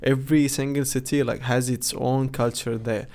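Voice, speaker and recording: Male host, mellow tone, monotone delivery, relaxed chat, lots of "like". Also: medium pitch, moderate pace. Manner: relaxed, chatty, frequent "like" fillers. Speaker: podcast host. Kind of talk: casual podcast interview.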